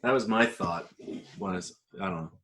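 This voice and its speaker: A man's voice: sits low, normal speed, a bit bright. A man talking casually as a podcast panelist, in a tentative, loosely rambling manner. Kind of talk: casual chat.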